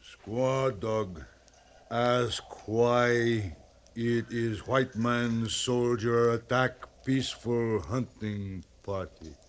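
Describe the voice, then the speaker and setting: An actor playing a proud, terse Cheyenne chief in halting broken speech, in a 1950s radio western. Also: male voice, mid-range voice. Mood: stately, accusing.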